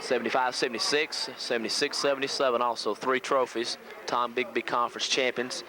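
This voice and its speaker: A man's voice: mid-range voice, level tone, a little fast, a crowd in the background. Male local sportscaster, noisy old tape. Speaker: local radio play-by-play sportscaster. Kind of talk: pregame sports commentary. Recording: noisy old VHS local radio audio.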